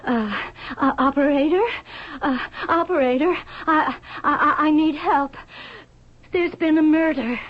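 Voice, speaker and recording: An actress playing a put-upon showgirl wife in an old-time radio drama, with a halting, flustered way of talking. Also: high-pitched. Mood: shaken, halting. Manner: halting, breathless repetition. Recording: dull lo-fi radio broadcast recording.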